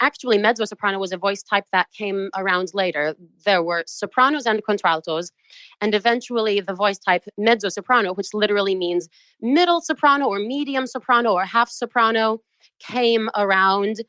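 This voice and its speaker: Woman, opera singer guest, precise and explanatory, smooth resonant voice, dry close sound. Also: mid-range voice, fairly bright tone, dry recording, low-quality recording. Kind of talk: casual interview talk.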